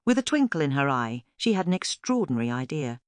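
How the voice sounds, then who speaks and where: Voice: mid-range voice, sing-song, quick pace, no echo, clean studio sound. A narrator reading a scripted children's story aloud as a storyteller.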